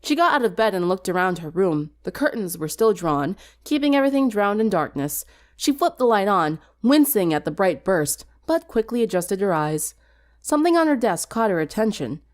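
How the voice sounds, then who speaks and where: A woman's voice: medium pitch, very animated, normal speed. A woman reading a scripted story aloud as a dramatic narrator. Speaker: fan fiction narrator.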